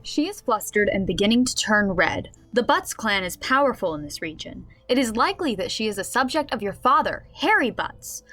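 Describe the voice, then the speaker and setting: A woman's voice: mid-range voice, sing-song, normal speed, hardly any pauses, bright, forceful tone, faint music behind. A woman playing a game master who narrates a comic fantasy tabletop game in a scripted radio drama. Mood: storytelling.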